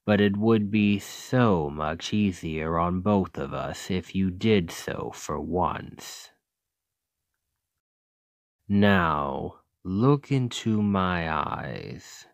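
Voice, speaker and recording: A man playing an ancient Demon King, a coldly calculating tyrant who talks in a measured, condescending, menacing way in an ASMR roleplay. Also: deep voice, no room sound, clean studio sound, full character voice. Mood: commanding.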